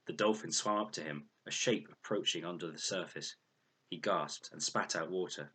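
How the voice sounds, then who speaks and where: A man reading a children's adventure novel aloud as an expressive, scripted narration. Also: sits low, normal speed, breathy edge. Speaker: audiobook reader.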